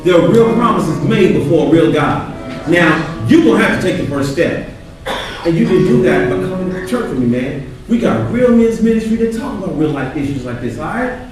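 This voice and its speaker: A man's voice: a little high, expressive, brisk, music in the background, lightly acted. A man acting in a stage play as an earnest churchgoing friend who counsels with preachy, urging emphasis. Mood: solemn, urging. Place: theatre stage, captured from afar. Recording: distant, echoey theatre stage recording.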